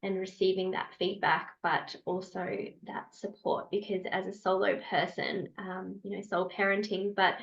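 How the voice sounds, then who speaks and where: A woman who runs a small business and teaches yoga, introducing herself earnestly to a group, pausing often to find her words. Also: medium pitch, little pitch movement, vocal fry, muffled recording. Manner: earnest, searching, many pauses and fillers.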